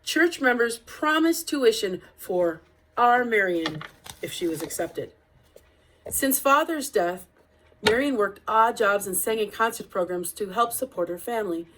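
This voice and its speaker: A woman reading a picture book aloud as narrator, with deliberate, expressive phrasing. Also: mid-range voice, slight background noise, low-quality recording. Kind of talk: read-aloud storybook narration.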